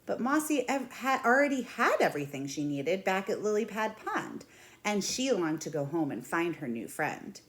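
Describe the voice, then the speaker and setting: A woman in her thirties reading a picture book aloud in an expressive, deliberate way. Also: medium pitch. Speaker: read-aloud storyteller. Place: small indoor room at home.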